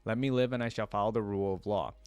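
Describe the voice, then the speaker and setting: Man reading as a low-voiced storybook king. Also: steady tone, quick pace, a little mellow, clean tone, dry recording, natural voice. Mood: pleading. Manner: imperious, declamatory. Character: cruel, arrogant king of a starving land.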